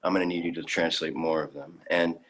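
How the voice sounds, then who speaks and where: Guy playing young alien lad, lowish voice, super earnest and naive, stammers a bit, drama reading. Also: little pitch movement, fairly quick, fairly bright tone. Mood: earnest, eager. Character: naive, eager, idealistic young male alien orphan. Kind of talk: dramatic fan fiction reading.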